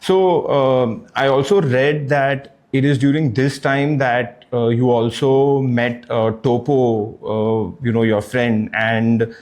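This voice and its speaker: A man's voice: medium pitch, steady tone, takes it slowly, few pauses, darkish tone. A man hosting a podcast, asking questions in a loose, rambling way with many fillers. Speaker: podcast host. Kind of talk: casual interview questions.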